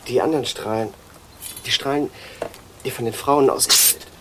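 Guy playing a young unsure writer in a german radio drama, halting speech, noisy background w clicks. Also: mid-range voice, natural voice. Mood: uncertain, straining. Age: young adult. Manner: hesitant, halting. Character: Daniel, young male writer drawn into a patient's delusion.

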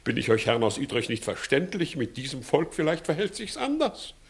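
Here is a crooked country judge in an old radio play. His voice sits fairly high and leaps about, moving from sly wheedling to loud bluster in a theatrical way. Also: sing-song, a little fast. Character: blustering, scheming village judge hiding his own guilt. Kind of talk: 1960s German-language radio acting.

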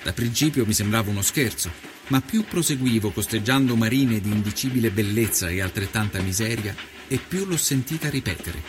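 An actor playing an earnest nineteenth-century Italian investigative journalist, narrating his reportage in measured, literary first person for a documentary. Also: medium pitch, noisy background, lightly acted.

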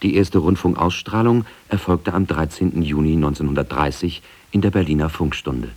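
Male feature narrator, low and husky, reading from a script. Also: normal speed, darkish tone. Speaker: documentary narrator. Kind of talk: scripted radio documentary narration.

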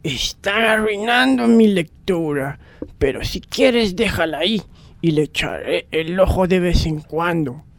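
A woman playing a gruff but caring bookish man, grumbling and unhurried, in a radio drama. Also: fairly low pitch, no room sound. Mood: annoyed. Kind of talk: amateur radio drama acting.

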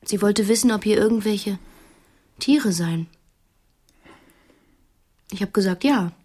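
An actress playing a strictly raised, quietly cunning wife who feigns naivety in a radio play, speaking hesitantly with pointed innocence. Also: female voice, medium pitch, takes it slowly, husky. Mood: feigned innocence. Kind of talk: radio play acting in dialogue.

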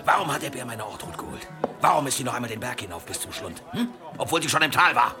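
An actor playing a bitter, grieving, drunken blacksmith in an audio drama, brooding and insistent. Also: male voice, medium pitch, sing-song, fast talker, over music. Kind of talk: German audio drama acting.

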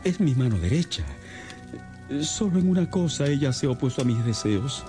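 An actor playing a distressed, respectable middle-aged father, an agitated and earnest gentleman in a radio drama. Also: medium pitch, animated, moderate pace, lightly acted. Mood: troubled, earnest. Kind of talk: Spanish-language radio drama acting. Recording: music under the voice.

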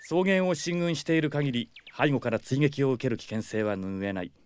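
A man's voice: mid-range voice, moderate pace, clean tone, dry recording, clean studio sound, lightly acted. An actor playing a proud, stubborn young male soldier in a Japanese radio drama, speaking firmly and formally. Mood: composed, analytical. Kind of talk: Japanese anime-style radio drama acting.

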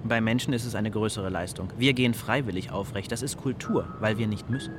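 A man's voice: on the low side, fairly quick, lightly acted. An actor in a radio play as a sceptical young man who speaks with flippant, questioning irony. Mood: ironic. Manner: questioning, ironic. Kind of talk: German radio play dialogue. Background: music.

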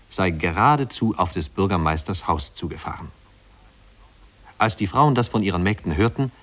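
A man with a very low voice narrates a classic tale. The delivery is scripted literary storytelling in the style of 1950s radio drama. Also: a bit bright.

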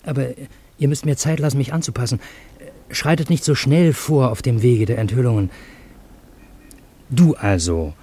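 A man's voice: medium pitch, normal speed, long pauses, mellow, breathy edge, close to an everyday voice. An actor playing a genial, easily bewildered Parisian in a German radio play, urbane and wry in his literary storytelling. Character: genial, bewildered Parisian man narrating a fantastic encounter.

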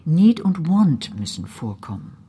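Radio drama: prescriptive female lecturer, low and mellow, insistent list-like delivery. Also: big pitch swings, unhurried, husky, exaggerated voice. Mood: stern, prescriptive. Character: stern female screenwriting instructor reciting rules.

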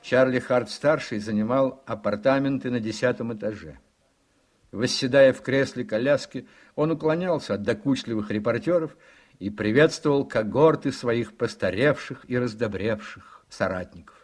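A man narrating a radio play from a script in a storytelling manner, with a husky voice. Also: medium pitch, moderate pace, darkish tone.

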